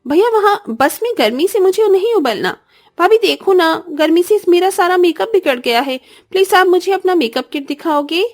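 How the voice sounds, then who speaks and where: Woman acting a high-pitched, whiny, greedy young sister-in-law. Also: expressive, normal speed. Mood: gushing, whiny. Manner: wheedling, theatrical, showy pleading. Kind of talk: Hindi cartoon family-drama acting.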